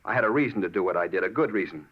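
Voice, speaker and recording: An American actor playing an agitated, defensive murder suspect in a 1950s radio drama, insistent and irritable. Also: male voice, deep voice, expressive, very fast, a little hiss or hum. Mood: indignant, stubborn. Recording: dull 1950s radio recording.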